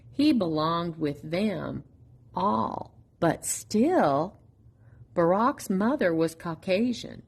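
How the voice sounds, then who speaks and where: A woman reading a children's picture book aloud as a storytime reader, in an expressive, deliberate manner with pauses. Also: lowish voice.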